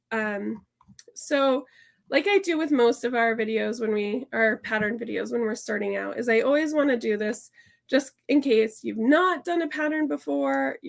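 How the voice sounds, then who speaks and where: Stuffy hoarse woman doing a sewing tutorial, kinda high and gravelly, lots of um's. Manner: chatty and upbeat, with frequent fillers and restarts. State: hoarse and stuffy from a sinus infection. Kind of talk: casual sew-along tutorial chat.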